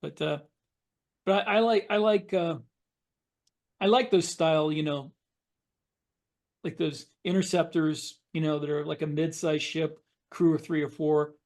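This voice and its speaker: A man with a voice a little higher than average chats on a podcast panel as a science fiction writer. He speaks in a casual, halting way, doubling back to repeat and reword his phrases. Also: fairly even intonation, a little mellow.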